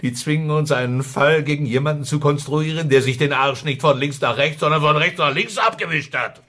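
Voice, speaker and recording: An actor playing a fearful, desperate secret police chief in a radio drama, intense and halting. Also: male voice, a little high, pushed and bright. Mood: alarmed, bitter.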